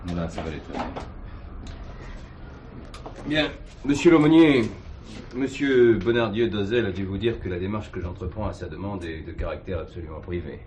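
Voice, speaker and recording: Deep-voiced, sceptical police commissioner. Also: male voice, lively intonation, darkish tone, slight background noise. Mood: reserved, courteous. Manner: formal, measured, probing. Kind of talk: 1970s French radio drama acting.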